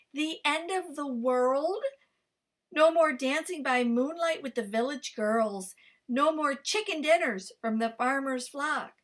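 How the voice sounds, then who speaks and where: A woman librarian reading a picture book aloud to children, with lively, expressive storytelling. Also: sits high.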